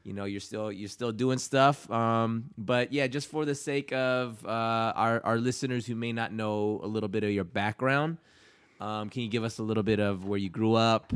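A man hosting a casual podcast interview, relaxed and friendly, with plenty of fillers as he introduces his guest. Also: fairly low pitch, normal speed, smooth, no room sound, clean studio sound. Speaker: podcast host.

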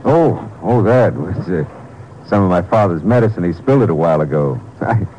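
A man's voice: low voice, animated, brisk, mellow, poor audio. An actor playing a shifty, evasive big man stalling the sheriff in an old radio drama. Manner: evasive, smooth-talking, fake-casual. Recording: old radio drama recording with faint noise.

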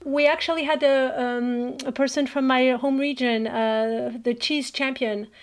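A woman from northern France, a podcast guest chatting casually, emphatic and quick to laugh. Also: high-pitched, steady tone. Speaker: managing editor, podcast guest.

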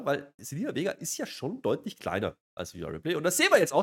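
A man's voice: high voice, husky. A man co-hosting a wrestling review in casual, unscripted banter, with a sarcastic, mock-enthusiastic way of recapping. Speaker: wrestling show review co-host.

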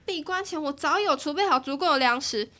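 A woman voicing a sharp, sarcastic young woman who has shut herself in at home, in an audio drama. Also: high voice, animated. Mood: indignant. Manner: sharp, quick to snap, sarcastic.

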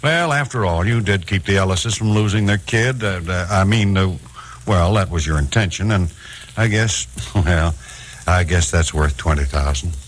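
Husky, flustered old boss. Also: male voice, low voice, moderate pace. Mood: sheepish, hesitant. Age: older. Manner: stammering, blustery, repeats himself. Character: flustered, gullible, soft-hearted older male boss. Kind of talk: 1950s radio drama acting.

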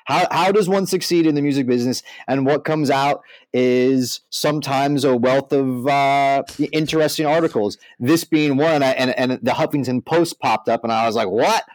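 A man's voice: mid-range voice, moderate pace, hardly any pauses, no room sound, rough recording. A man hosting a podcast in casual, informal, slangy talk. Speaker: podcast host.

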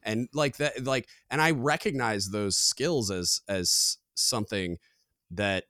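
A man with a deep booming voice speaks in a loose, rambling podcast manner, circling his thoughts with frequent fillers. His pitch sits very low. The sound is dry and close, with no room around it. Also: takes it slowly, pushed and bright, no room sound. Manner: rambling, filler-heavy, candid. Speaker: podcast co-host. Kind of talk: casual podcast conversation.

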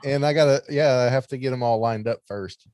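A man's voice: mid-range voice, a little fast, darkish tone. A man chatting casually as a panelist on a group podcast.

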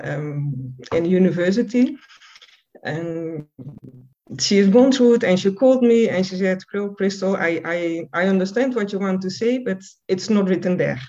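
A woman's voice: medium pitch, a little mellow. A woman guest author who speaks English as a second language, answering earnestly with many hesitant ums. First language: not English. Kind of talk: interview answers. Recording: dull lo-fi audio.

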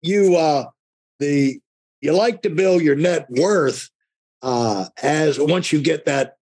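A man hosting a conversational podcast interview, talking in a halting, rambling way and often rephrasing himself. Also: on the high side, a little mellow, slightly rough.

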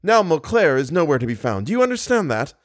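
A man's voice: a little high, very animated, quick pace, no echo, clean studio sound, voice pushed up. A man voicing a frantic, harried male stage manager who roars and fumes in exasperation. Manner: roaring, exasperated, urgent.

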